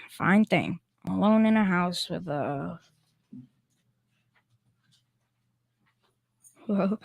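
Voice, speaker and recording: A woman's voice: medium pitch, no echo, clean studio sound. Girl playing a blustering, cowardly working-class dad. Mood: frightened. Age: teenager. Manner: folksy, wisecracking, ungrammatical. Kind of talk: old-time radio comedy acting.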